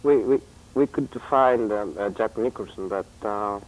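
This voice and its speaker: A man's voice: on the low side, unhurried, slight background noise, poor audio. A man whose first language is Japanese, interviewing hesitantly with short acknowledgements. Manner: hesitant, brief backchannel replies. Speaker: interviewer, producer. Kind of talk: telephone interview.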